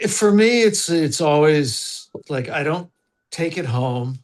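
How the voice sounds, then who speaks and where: Thoughtful man with a higher voice. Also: lively intonation, slow pace. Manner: reflective, searching for words. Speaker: actor. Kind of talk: interview answers.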